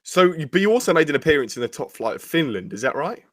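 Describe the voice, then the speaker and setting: A man hosting an interview podcast, asking long rambling questions in a brisk way. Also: on the high side, big pitch swings, fairly bright tone, dry recording, studio quality. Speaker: podcast host.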